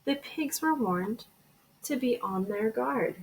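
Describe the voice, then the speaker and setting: A woman teacher reading a children's storybook aloud in an expressive way. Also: fairly high pitch, slow pace, slight background noise, low-quality recording. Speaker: elementary school teacher.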